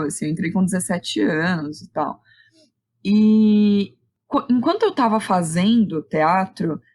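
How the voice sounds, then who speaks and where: A woman's voice: fairly low pitch, no room sound. Casual São Paulo Brazilian woman, close dry sound. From Santo André, São Paulo, Brazil. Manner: informal, conversational, uses frequent tag questions. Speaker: guest, theatre director and playwright. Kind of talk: casual interview chat.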